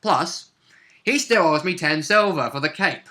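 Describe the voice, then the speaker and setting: A man chatting casually as a player, recounting a tabletop role-play session in an animated storytelling manner. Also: a little high, unhurried. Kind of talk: casual chat recap of a game session.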